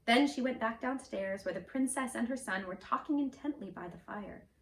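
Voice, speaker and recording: A woman in costume reading a fairy tale aloud in a gentle, expressive storytelling voice. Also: fairly high pitch, moderate pace, slight background noise. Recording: roomy echo, mic at a distance.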